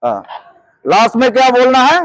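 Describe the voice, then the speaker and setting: A man's voice: very high voice, big pitch swings, moderate pace, a bit bright, dry recording, low-quality recording. A man teaching as a security trainer, repeating points and often checking that listeners follow. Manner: repetitive, checks understanding often. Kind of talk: hands-on instructional lesson.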